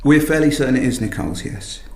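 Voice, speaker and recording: An actor playing a polite, quietly probing police detective in a radio drama, hesitant and understated. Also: male voice, medium pitch, quick pace, echoey room, close to an everyday voice. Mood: grave, apologetic. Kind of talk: naturalistic radio drama acting.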